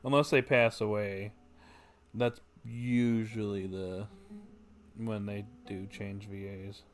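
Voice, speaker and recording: A man's voice: fairly low pitch, fairly even intonation, slow, a little hiss or hum. A man giving casual commentary while livestreaming a game. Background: game music.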